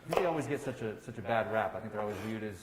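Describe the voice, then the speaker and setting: A man's voice: on the low side, expressive, very fast. A middle-aged American man from Kentucky, a chef talking casually and telling stories as a guest. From Kentucky, United States. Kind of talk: casual conversational storytelling. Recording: roomy, echoey room with faint noise.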